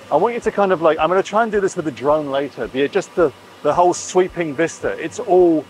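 A man's voice: on the high side, darkish tone, slight background noise, low-quality recording. A man in his forties giving an enthusiastic, emphatic walk-through tour to camera as a vlog host, repeating phrases and wearing a lapel mic. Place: inside a boat under construction and a large boatyard shed. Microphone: clip-on lapel mic.

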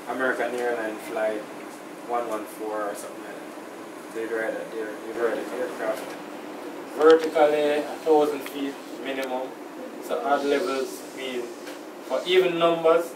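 A Jamaican man, an air traffic controller, explains things informally to visitors. His medium-pitched voice is picked up some way from the camera, in a roomy space with clear background noise. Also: recorded from a distance. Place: small glass-walled control tower cab, indoors. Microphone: handheld camera a few feet away.

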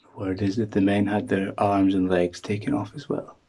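A young man vlogging by a rocky shore and in a sea cave, talking into a handheld selfie camera, shifting between dramatic hushed storytelling and loud exclamations. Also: on the low side, completely flat delivery, normal speed. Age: young adult. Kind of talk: excitable travel vlog.

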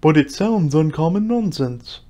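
A doleful turtle creature from a storybook, acted out by a man. He speaks in a ponderous, drawn-out way and takes offence easily. Every phrase is slow and weighty. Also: on the high side, lively intonation, warm tone, pitched above the performer's own voice. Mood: musing. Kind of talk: storybook character voice.

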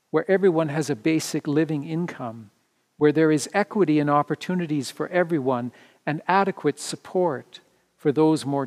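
This is a man in his fifties or sixties with a slightly high-set voice. He preaches from a written text as a pastor would. His phrasing is measured and deliberate, set out piece by piece. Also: darkish tone. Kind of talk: sermon read from a script. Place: indoor church sanctuary, standing before the altar.

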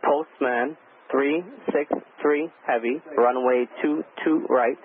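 Man, air traffic controller, rapid and clipped, tinny radio, faint hiss. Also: medium pitch, steady tone.

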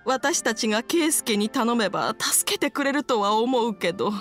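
Actress as kindly old woman, high voice, expressive, gentle, nostalgic, anime game, soft music. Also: moderate pace, natural voice. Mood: concerned, hopeful. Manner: gentle, reminiscing.

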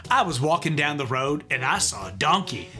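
A man's voice: on the high side, big pitch swings, fairly quick, pushed and bright, soft background music. A man in his thirties co-hosting a comedic children's read-aloud, theatrical and teasing with a rhythmic reading style. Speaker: children's book reader. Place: indoor studio with yellow couch.

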